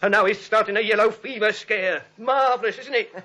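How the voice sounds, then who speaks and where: Sharp, barking police lieutenant. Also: male voice, high voice, brisk. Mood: impatient, wry. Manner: barking, sarcastic, impatient. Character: gruff, bossy, sarcastic police lieutenant. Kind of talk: 1940s radio comedy acting. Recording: dull vintage radio recording.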